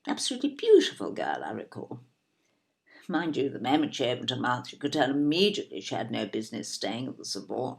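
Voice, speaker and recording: A woman's voice: extremely low pitch, sing-song, brisk, gravelly, full character voice. A woman playing a snobbish, opinionated older English upper-middle-class wife in an audio play, with a haughty, disapproving, plummy manner. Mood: reminiscing, disdainful.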